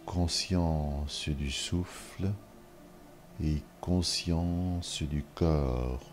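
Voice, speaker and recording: Very deep, slow-speaking man guiding meditation. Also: fairly even intonation, soft background music. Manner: measured, instructional, with long pauses between short phrases. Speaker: yoga nidra guide. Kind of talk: guided relaxation meditation.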